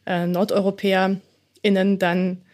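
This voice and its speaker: A woman's voice: mid-range voice, flat delivery, a little slow, a little mellow. A woman co-hosting a podcast, chatting casually about gestures, laughing often and using many fillers. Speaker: podcast co-host.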